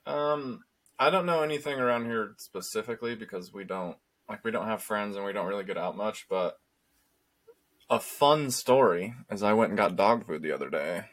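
A man's voice: lowish voice, moderate pace, fairly bright tone. A man in his mid thirties co-hosting a podcast, laid-back and joking. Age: 30s. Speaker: podcast co-host. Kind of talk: casual chat.

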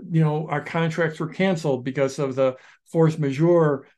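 A man co-hosting a business podcast, telling stories in a lively, restless way and restarting his sentences often. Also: fairly high pitch, moderate pace. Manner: animated storyteller, restarts sentences, shifts pace often. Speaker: podcast co-host, online business founder. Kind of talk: casual podcast conversation.

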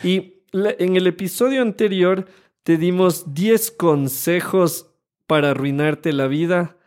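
A man in his thirties from Ecuador with an Ecuadorian accent, co-hosting a casual podcast in a studio and acting out the people he quotes. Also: high-pitched. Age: 30s. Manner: animated, acts out quoted people, slows to stress points. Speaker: podcast co-host. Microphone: studio microphone.

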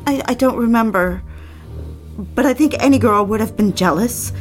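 An actress voices a fragile young wife from a period audio drama, high in pitch and anxious. She pleads hesitantly, lonely and jealous. Faint music sits behind her voice. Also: moderate pace, lightly acted. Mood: anxious, defensive.

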